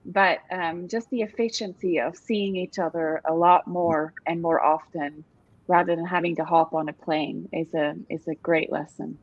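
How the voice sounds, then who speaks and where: A woman from Switzerland with a Swiss accent, speaking as an executive panelist on a video call in a measured way with frequent ums. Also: lowish voice, fairly even intonation. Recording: dull, dry video-call audio.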